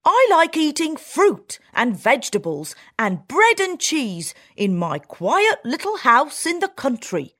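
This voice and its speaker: A woman voicing a homely female country mouse who talks slowly and insistently in a storybook reading. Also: high voice, huge pitch swings, voice pushed up, exaggerated voice. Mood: upset. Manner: slow, insistent, loud complaint.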